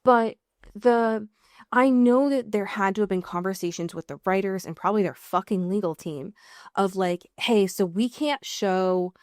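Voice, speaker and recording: A woman's voice: mid-range voice, normal speed, a little mellow, dry recording, studio quality. A woman co-hosting a podcast in a casual recap chat, informal and wry, with some swearing. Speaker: podcast co-host.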